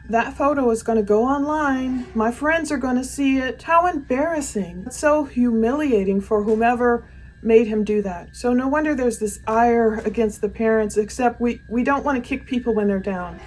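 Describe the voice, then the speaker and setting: A woman's voice: a little high, a little mellow, rough recording. A woman hosting a true crime commentary, talking casually and conversationally with opinionated asides.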